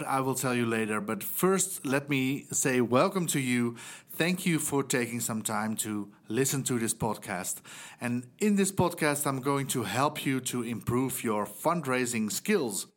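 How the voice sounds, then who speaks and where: This is a man from the Netherlands whose Dutch accent colours every sentence. He talks through a scripted solo podcast in an earnest, instructive way. His voice sits at a middle pitch, and his delivery stays measured and steady. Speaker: podcast host, fundraising trainer.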